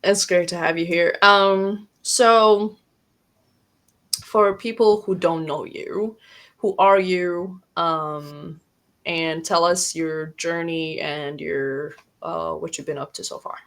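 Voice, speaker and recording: A woman's voice: on the low side, fairly even intonation, slow pace, a bit bright. A woman hosting an interview podcast, asking questions in a friendly, chatty way. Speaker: podcast host.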